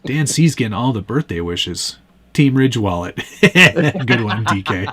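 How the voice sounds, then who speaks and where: A man's voice: medium pitch, sing-song, a little slow, a bit bright. A man hosting a casual live stream, teasing his guest and reading viewer comments aloud.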